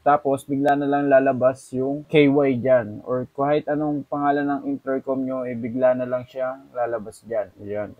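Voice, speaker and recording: A man's voice: mid-range voice, no room sound, narrow, tinny audio. A Filipino-accented man casually walking viewers through a tutorial step by step. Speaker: vlogger giving a tutorial.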